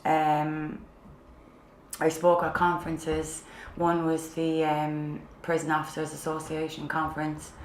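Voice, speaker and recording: Low flat woman with a Cork accent. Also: takes it slowly. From Cork, Ireland. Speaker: peer trainee. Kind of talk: recorded testimony. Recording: echoey room with faint noise.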